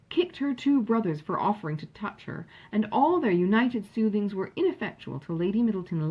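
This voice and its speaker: A woman narrating a classic novel in an expressive, measured storytelling manner, with a slightly husky voice. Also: mid-range voice, highs cut off. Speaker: audiobook narrator.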